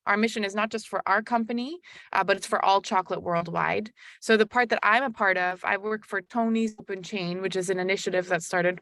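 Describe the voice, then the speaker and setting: A woman's voice: mid-range voice, fairly even intonation, brisk, a bit bright, no room sound. A woman from Belgium with an American accent, talking as a company guest in a casual webinar interview, friendly and fluent.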